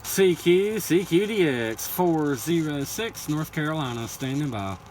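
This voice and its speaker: Loud static surrounds an American man at a CB radio. His voice sits a little high. He gives repetitive, formulaic call-outs in operator jargon. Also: lively intonation, a little slow. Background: loud radio static and noise.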